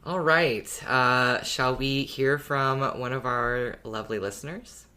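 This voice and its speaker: Podcast host, mid-pitched voice, expressive and emphatic, casual fan chat. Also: a little slow.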